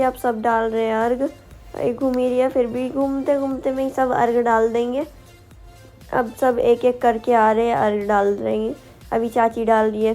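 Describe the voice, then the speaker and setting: A woman's voice: on the high side, warm tone. A young woman with a sore throat, narrating her family's festival rites as a vlog voice-over, explaining each step. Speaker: vlogger.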